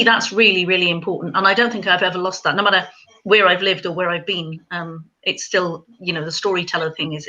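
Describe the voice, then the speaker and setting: Bright-voiced woman, hesitant, lo-fi call. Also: on the low side. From Wales. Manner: hesitant, many ums and restarts. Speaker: crime writer, panelist. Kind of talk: casual panel chat.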